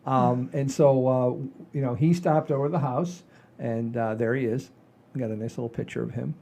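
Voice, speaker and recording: A man's voice: mid-range voice, fairly even intonation, moderate pace, vocal fry, dark tone. A man in his sixties hosting a casual comedy chat show in a folksy, rambling, enthusiastic way. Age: 60s. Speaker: podcast host.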